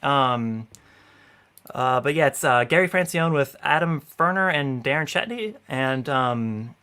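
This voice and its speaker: A man's voice: mid-range voice, lively intonation, unhurried, pushed and bright, clear, resonant tone. A man in his thirties hosting a casual livestream in an upbeat way, with a lot of "you know" fillers, speaking into a headset at home. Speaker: host. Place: home room.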